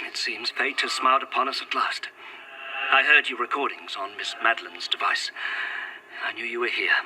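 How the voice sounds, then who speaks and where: Earnest, courtly Victorian gentleman, played by an actor. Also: medium pitch, steady tone, normal speed, background noise, close to an everyday voice. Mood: tender, earnest. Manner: formal, old-fashioned, earnest. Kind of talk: found-footage gothic audio drama acting.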